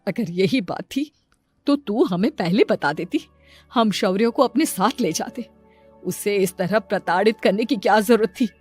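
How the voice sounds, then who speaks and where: A woman plays a stern grandmother in a cartoon moral tale. She scolds with reproach and indignation at a medium pitch, with soft music underneath. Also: animated, a little slow, husky. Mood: reproachful. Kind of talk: animated moral story dialogue.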